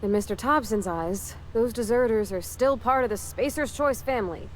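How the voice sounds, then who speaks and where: A woman's voice: on the high side, moderate pace, slight background noise, natural voice. A woman voicing an earnest, kind-hearted young colony mechanic in a video game, hesitant and apologetic, with folksy phrasing. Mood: reluctant, thoughtful. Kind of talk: naturalistic video game dialogue acting.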